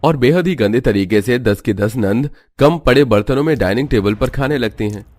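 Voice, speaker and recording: A man's voice: mid-range voice, moderate pace, darkish tone. A man giving scripted narration for a cartoon family story as its narrator.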